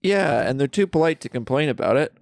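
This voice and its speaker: A man's voice: fairly high pitch, lively intonation, fairly quick, vocal fry, no echo, studio quality. A young man co-hosting a podcast, reading a comedy script in a playful, mock-philosophical way and giggling often. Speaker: podcast co-host. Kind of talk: comedic read-through of a script. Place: friend's basement.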